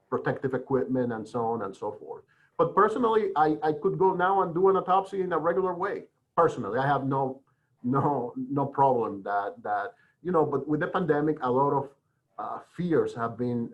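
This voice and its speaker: Middle-aged male medical expert, discursive webinar delivery, medium pitch, muffled lo-fi call. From Dominican Republic. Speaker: forensic pathologist, guest speaker. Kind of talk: explanatory webinar talk. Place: online video call. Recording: dull lo-fi web call audio.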